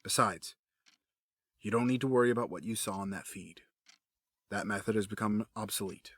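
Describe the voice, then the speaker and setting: A man playing a cold, grieving villain in an audio drama, menacing, sardonic and quick to justify himself. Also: on the low side, fairly bright tone, no room sound, clean studio sound. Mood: cold, cynical. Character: cold, obsessed male villain, a grieving widower running experiments.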